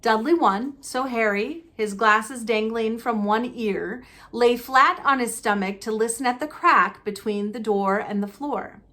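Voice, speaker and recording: A woman narrating a children's novel in an expressive read-aloud style. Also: fairly high pitch, measured pace, hardly any pauses.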